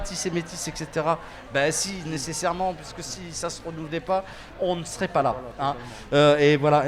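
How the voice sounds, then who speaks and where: A man in his fifties from France, an association organizer chatting on a panel with many fillers and restarts. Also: on the high side. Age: 50s. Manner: animated, hesitant with many fillers. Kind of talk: casual panel chat.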